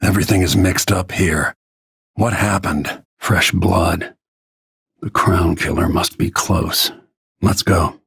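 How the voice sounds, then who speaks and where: A grim middle-aged assassin from a video game, with a very low voice full of gravel and heavy rasp. He is weary and restrained, and delivers terse, dramatic remarks. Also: steady tone, takes it slowly, fairly bright tone, no echo, clean studio sound, exaggerated voice. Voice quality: gravelly, husky. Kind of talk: scripted game voice lines, short dramatic remarks.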